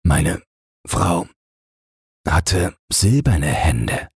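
A sorrowful king played by a male reader speaks in a deep, very breathy voice. He is weary and devoted, slow in speech, in a fairy tale read aloud. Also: big pitch swings, no echo, studio quality, exaggerated voice. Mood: weary, sad.

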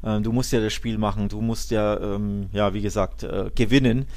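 A man's voice: on the low side, fairly even intonation, normal speed, darkish tone. A man co-hosting a football podcast, reasoning his point aloud with many fillers. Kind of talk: casual podcast chat.

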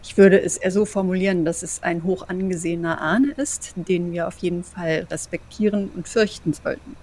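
Composed female vampire, woman player, slightly low, dark timbre, measured, respectful and formal, for role-play audio drama. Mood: composed, cautious.

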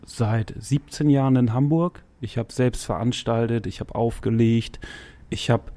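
A man in his forties chats as a guest on a podcast, loose and slangy, rambling through his thoughts with the odd laugh. His voice sits at an ordinary middle pitch. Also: steady tone, a little slow, dark tone. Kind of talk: casual podcast chat.

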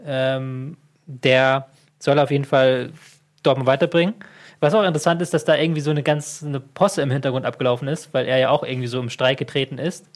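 A man's voice: medium pitch, flat delivery, brisk. Man, mid-range voice, football co-host, casual chat, hesitant, many fillers.

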